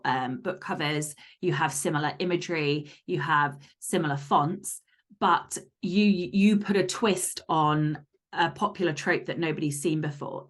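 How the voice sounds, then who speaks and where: A woman podcast guest giving conversational advice in a blunt, emphatic, sweary way. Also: fairly low pitch.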